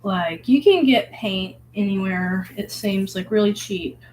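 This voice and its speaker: A hoarse woman, sick at the moment, talking in a casual, rambling and reflective way, full of filler words, as she paints. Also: medium pitch, a little slow, a little mellow, a little hiss or hum, poor audio. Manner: rambling, filler-heavy, musing. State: hoarse from illness. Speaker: vlogger painting.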